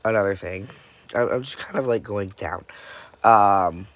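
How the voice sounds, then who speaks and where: A young man giving a casual personal update, stuffy and congested from a cold, dead tired, talking haltingly with many pauses and fillers. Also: fairly low pitch, no room sound. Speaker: vlogger.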